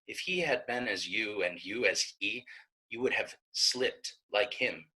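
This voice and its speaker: An actress playing a pleading, righteous young maiden in a staged reading of Shakespeare, with impassioned, rhetorical verse delivery. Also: female voice, a bass voice, unhurried, bright, forceful tone, heavily acted, rough recording. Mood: earnest pleading.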